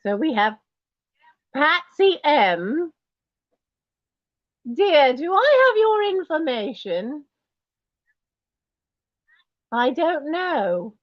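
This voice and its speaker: Posh British grande dame in diamonds, played by a woman; slow, high, swooping and snooty, calls everyone darling. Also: very animated, dry recording, dull audio, exaggerated voice. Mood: haughty. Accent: put-on posh British. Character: snobbish posh lady in wig and diamonds. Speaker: auction host. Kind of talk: in-character livestream auction.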